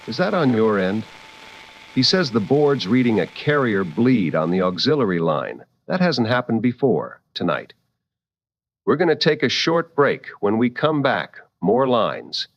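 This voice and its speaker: A man playing a calm, wry late-night radio host with measured dry humor in a radio drama, slightly muffled. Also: fairly low pitch, slight background noise.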